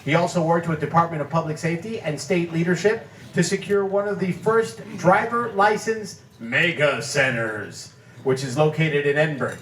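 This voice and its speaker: A man's voice: a little high, fairly bright tone, slight background noise. Man reading an award citation in an echoey hall. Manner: measured reading of facts and figures. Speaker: award presenter. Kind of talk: formal prepared speech. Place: banquet hall. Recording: echoey hall, distant mic.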